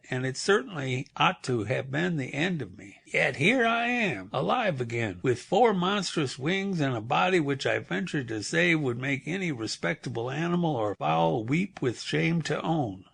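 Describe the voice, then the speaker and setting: A man voicing a bewildered, squeaky flying creature with a moose-like head, speaking formally and mournfully. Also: very animated, normal speed, vocal fry, hardly any pauses, a bit bright, husky, heavily acted.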